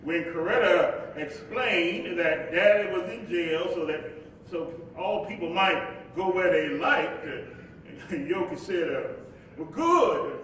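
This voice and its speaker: Civil rights preacher, eloquent, slow oratorical delivery, reflective, stage play, distant echoing hall. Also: male voice, high-pitched, expressive, a bit bright, heavily acted. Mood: reflective, wry. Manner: measured, deliberate, preacherly cadence. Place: live performance in an echoing hall. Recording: very echoey hall, distant mic, faint noise.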